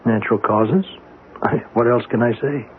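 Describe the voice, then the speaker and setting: A man's voice: medium pitch, fairly even intonation, moderate pace, close to an everyday voice. An actor playing a grave, matter-of-fact male doctor, explaining emphatically in a 1970s radio drama. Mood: resigned, explanatory. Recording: muffled, noisy old radio broadcast.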